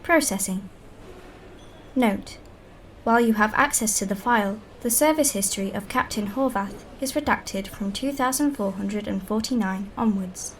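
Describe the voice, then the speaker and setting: A woman's voice: medium pitch, normal speed, a little hiss or hum, close to an everyday voice, poor audio. A woman voicing a polite, impassive computer interface in a science-fiction audio drama, with formal, emotionless delivery.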